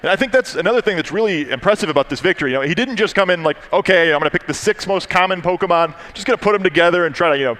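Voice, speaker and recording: Very fast-talking, high-voiced man commentating. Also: lively intonation, a bit bright, low-quality recording. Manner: admiring, effusive. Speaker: tournament co-commentator. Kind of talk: live esports analysis, conversational.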